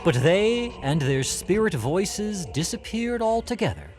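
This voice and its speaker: A man narrating a classic story for an audio drama in a deliberate, expressive, theatrical way. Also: high-pitched, faint music behind.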